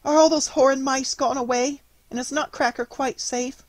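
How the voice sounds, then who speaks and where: A man's voice: very high-pitched, steady tone, normal speed, no room sound, pitched above the performer's own voice. A man voicing an anxious, earnest young girl in a storybook reading. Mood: anxious whispering.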